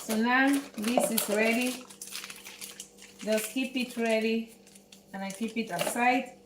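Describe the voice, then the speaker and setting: A Dutch woman with a Dutch accent hosts a live cooking lesson at a very slow, unhurried pace, her voice sitting a touch high for a woman. She talks in halting bits and repeats words while she works. The home kitchen sounds roomy and echoey under a faint hiss. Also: fairly even intonation. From Netherlands. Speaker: home cook host.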